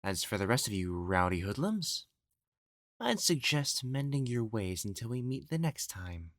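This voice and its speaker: A man's voice: mid-range voice, sing-song, moderate pace, no echo, clean studio sound. Haughty, theatrical matchmaker played by a man, swooping intonation. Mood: haughty, formal. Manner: pompous, theatrical, formal old-fashioned phrasing. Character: elegant, haughty, easily exasperated young male matchmaker of ancient China. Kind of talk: scripted audio roleplay acting.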